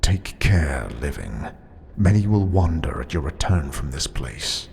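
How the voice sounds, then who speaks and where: A solemn undead tomb guardian, voiced by a man, grave and measured in every word. The voice sits very low and moves slowly. A long reverb trails after it, as if in a stone hall. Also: big pitch swings, gravelly, a little mellow, slight background noise, exaggerated voice.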